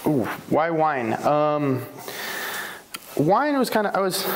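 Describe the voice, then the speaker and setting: A man from Slovenia who owns a bakery, telling his life story in a casual interview, rambling with fillers and self-corrections. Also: on the low side. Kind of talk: casual oral history interview.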